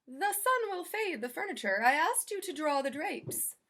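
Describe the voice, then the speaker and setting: A woman's voice: very high voice, very animated, moderate pace, sharp tone, pitched above the performer's own voice, full character voice. A woman voicing an easily shocked, exasperated lady of the house in a children's storybook, exclaiming dramatically.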